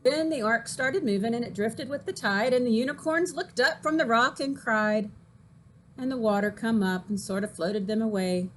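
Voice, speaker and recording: A woman teacher reading aloud expressively and explaining things simply for children, with an animated, folksy delivery. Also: fairly high pitch, moderate pace, a little hiss or hum, rough recording. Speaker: teacher reading poems to grade-school children.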